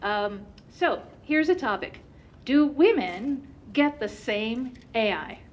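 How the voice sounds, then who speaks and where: A woman whose first language is Turkish, giving a conference presentation in an animated way with many fillers. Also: on the high side, slow pace, slight background noise.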